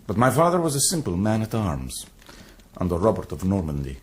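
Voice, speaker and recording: An actor in a radio drama playing a proud, courteous young Syrian-born warrior who speaks in a measured, formal and earnest way. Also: male voice, low voice, huge pitch swings, a little hiss or hum. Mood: measured, candid.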